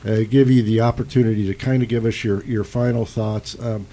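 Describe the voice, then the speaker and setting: A man's voice: fairly low pitch, fairly even intonation, fairly quick, dark tone. A man hosting a radio panel, passing along callers' points and wrapping up the segment. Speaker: radio host.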